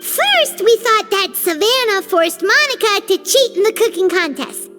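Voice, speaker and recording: A woman voicing a tiny, chatty, excitable female companion creature in a video game, talking about herself by name. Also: unhurried, heavily acted. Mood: puzzled. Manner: squeaky, exclamatory, talks about herself by name. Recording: game audio with faint music underneath.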